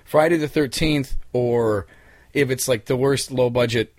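A man's voice: mid-range voice, moderate pace, a little mellow, dry recording. A man in his thirties chatting casually as an interview guest, telling things in an informal, rambling way. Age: 30s. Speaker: interview guest, filmmaker and musician. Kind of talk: casual chat.

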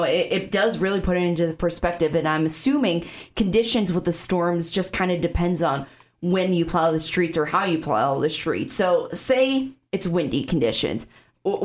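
A woman with a Chicago accent and a fairly low voice hosts a studio radio show. She asks conversational questions and pushes back lightly on her guest. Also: animated, normal speed. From Chicago suburbs. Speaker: radio host. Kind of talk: radio interview host.

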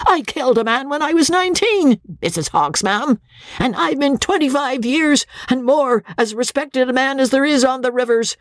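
A woman voicing an enraged middle-aged man in a squeaky falsetto. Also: animated, normal speed, no room sound, studio quality. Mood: furious.

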